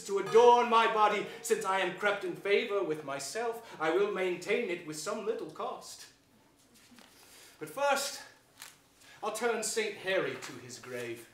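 A scheming, self-satisfied villain duke of classical theatre. The voice is high-pitched, bright and forceful, declaimed in grand verse across an echoey stage. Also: male voice, slight background noise. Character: scheming, gloating villainous duke who feigns penitence. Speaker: stage actor. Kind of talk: theatrical Shakespearean stage reading. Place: stage in a theatre hall, distant camera.

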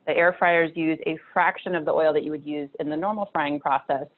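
A woman's voice: low voice, fairly even intonation, a little fast. A woman in her thirties giving a casual nutrition talk over slides, chatty, with many fillers and restarts, from a small room at home. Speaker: nutrition presenter. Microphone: video call webcam. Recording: tinny phone-like video call audio.

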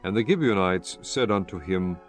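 A man narrating scripture from a script in a solemn, measured, formal manner. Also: low-pitched, lively intonation, faint music behind.

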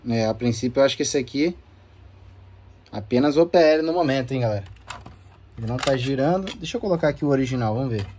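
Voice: mid-range voice, animated, quick pace, pauses often, darkish tone, breathy edge. A vlog host with a Brazilian accent and an informal, playful manner, casually talking viewers through hands-on testing of game consoles in slangy speech. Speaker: host of a gaming video channel.

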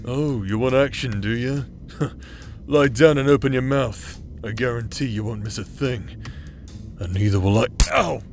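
A man performing a gruff, sarcastic bastard warrior from a fantasy audio drama, laid up with injuries. He speaks slowly in a mid-pitched voice and swears freely, prickly with everyone. Faint music plays underneath. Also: natural voice. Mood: seething, sarcastic. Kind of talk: character roleplay audio drama.

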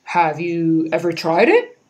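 Woman playing a slow, easygoing gorilla. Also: lowish voice, sing-song, a little mellow. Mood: calm, helpful. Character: big easygoing male gorilla friend.

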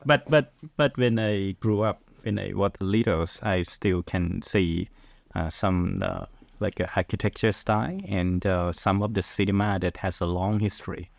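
A middle-aged man speaks English with a Thai accent in a low voice. He gives casual interview answers, pausing and searching for his words. The sound is dry and close. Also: steady tone, vocal fry, no echo. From Thailand. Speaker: podcast guest, film director.

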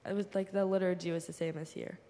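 A woman's voice: lowish voice, level tone, fast, dark tone, a little hiss or hum. Young woman chatting in a church hall. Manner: chatty, filler-heavy. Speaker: youth trip participant. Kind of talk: casual report. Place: large church sanctuary. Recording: reverberant hall, faint ambience.

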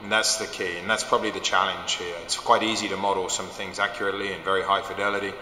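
A man in his forties, a company chief executive, explaining in a measured interview with fillers, filmed in a large indoor hall on a clip mic. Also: sits low, level tone, pushed and bright. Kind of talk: corporate video interview. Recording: echoey hall with faint hum.

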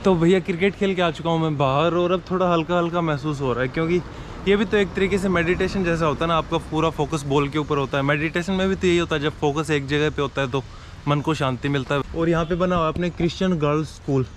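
A man's voice: a little high, fast. A young man walking outdoors and talking casually about his travels, recorded on an action camera held at arm's length. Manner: chatty and curious, asking locals questions. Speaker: travel vlogger. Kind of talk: casual travel vlog narration. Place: outdoors on streets and in a market. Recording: outdoor street noise behind.

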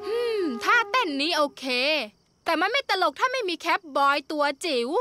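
A bossy little boy ninja villain, played by a woman talks in a very high, swooping voice. He mocks and gives orders in children's cartoon style, over loud music. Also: moderate pace. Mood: grumbling. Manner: commanding, mocking, animated. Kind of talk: dubbed children's cartoon acting. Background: loud background music.

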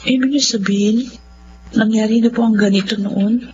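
A woman's voice: on the high side, fairly even intonation, normal speed, warm tone, slight background noise, close to an everyday voice. A woman playing a caring, concerned young cousin in a Filipino radio drama, probing and sympathetic. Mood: sympathetic, worried. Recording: muffled radio drama audio under music.

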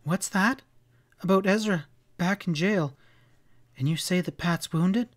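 A man voicing a forceful, urgent young frontier woman in a western storybook, demanding and emphatic. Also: a little high, moderate pace, voice pushed up, close to an everyday voice. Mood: alarmed.

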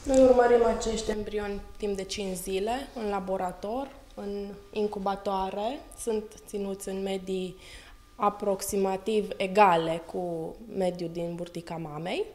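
A young woman with a Romanian accent, an embryologist, explaining her lab work in a friendly, matter-of-fact way with frequent pauses. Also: mid-range voice, steady tone, darkish tone. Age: young adult. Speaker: clinic embryologist. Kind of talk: interview answers. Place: fertility lab, indoors. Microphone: handheld reporter mic.

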